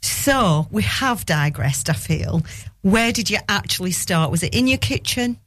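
A middle-aged woman with a Northern English accent hosting a casual radio chat, enthusiastic, chatty and quick to jump in. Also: mid-range voice, lively intonation, a bit bright. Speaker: radio show host.

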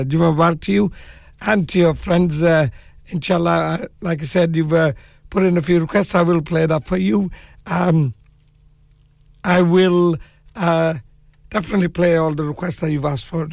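Croaky-voiced hoarse radio presenter. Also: male voice, fairly high pitch, no echo, clean studio sound. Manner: relaxed and chatty, many fillers. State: hoarse with a cold. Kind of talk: live community radio show. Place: radio studio.

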